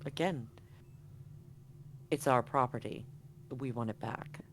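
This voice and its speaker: A man's voice: medium pitch, slow pace, fairly bright tone. A man playing a cold, arrogant female corporate agent in a tabletop game, speaking with dismissive control. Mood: firm, menacing. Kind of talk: tabletop roleplay acting. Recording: live stream with background noise.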